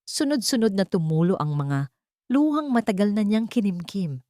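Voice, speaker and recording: A woman's voice: medium pitch, sing-song, normal speed, dark tone, no room sound, clean studio sound. A woman reading a scripted romance story as narrator in a dramatic storytelling style.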